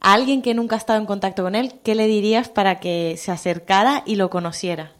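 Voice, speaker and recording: Woman hosting a radio interview. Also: medium pitch. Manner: measured, asks questions with some hesitation. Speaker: radio host. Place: radio studio.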